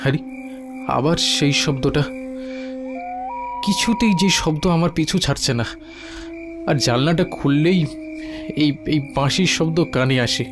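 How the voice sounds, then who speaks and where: A man playing an anxious, bewildered and weary young village son in a horror audio story, exclaiming and halting when alarmed. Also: fairly high pitch, over music, close to an everyday voice. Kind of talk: dramatised horror story dialogue.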